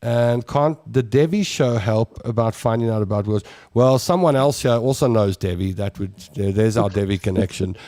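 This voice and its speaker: A middle-aged South African man hosting a talk show, reading out viewer comments in a warm, rambling way with lots of restarts. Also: on the low side, moderate pace, few pauses, dry recording, clean studio sound.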